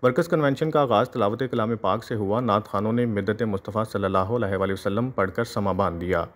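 A man reporting as a field correspondent, reading a formal news voice-over at an even pace. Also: on the low side, fast.

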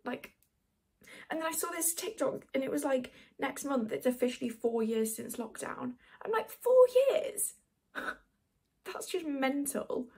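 Chatty twenty-year-old woman with vocal fry. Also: fairly high pitch, darkish tone. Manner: chatty and rambling, lots of likes and ums. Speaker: beauty vlogger. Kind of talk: casual get-ready-with-me chat. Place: small dim bedroom, indoors.

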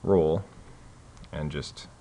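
Man with a very low voice, casual tutorial host, loose and halting delivery, light hiss behind. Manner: relaxed, many fillers and restarts. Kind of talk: casual screen-recorded tutorial.

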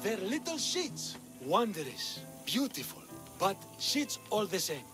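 A man's voice: sits high, wildly swooping pitch, slow pace, heavily acted. An actor playing a harried middle-aged father of three unruly sons, exasperated and grandly theatrical. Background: game music.

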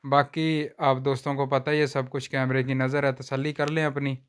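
A man walks viewers through cattle for sale on a farm, talking in plain, unhurried sales patter and filming on a handheld phone outdoors. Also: mid-range voice, little pitch movement, clean tone. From Pakistan. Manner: repeats a stock religious phrase often. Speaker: livestock seller vlogger. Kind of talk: casual sales walkthrough.

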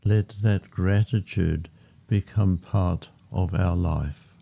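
A man guiding a meditation in a calm, unhurried manner, speaking in short phrases with long pauses between them. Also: deep voice, creaky. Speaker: meditation guide. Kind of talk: guided meditation narration. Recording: muffled, dull lo-fi sound. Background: background music.

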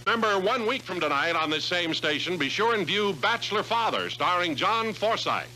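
A man's voice: high-pitched, expressive, normal speed, pushed and bright, poor audio. A man announcing a 1950s television show in a scripted announcer style. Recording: old TV soundtrack, faint noise and hum.

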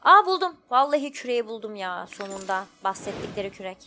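A woman's voice: medium pitch, big pitch swings, quick pace, sharp tone, slight background noise. A woman giving casual, informal let's play commentary, thinking aloud as she goes. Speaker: gaming streamer.

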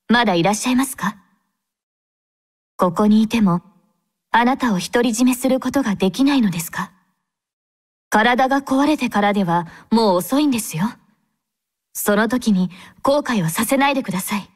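A woman voicing a devout young female android squad captain in an anime game, speaking formally and with gentle composure. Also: a little high, natural voice. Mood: tender, wistful. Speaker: game character voice actor.

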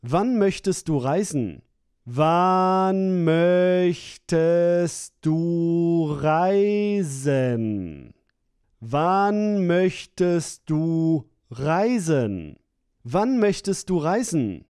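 A man's voice: a little high, animated, extremely slow, a little mellow, smooth, no echo, clean studio sound. A man reading phrases for a language course, splitting and repeating them with careful enunciation. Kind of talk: slow, clear phrase-by-phrase repetition.

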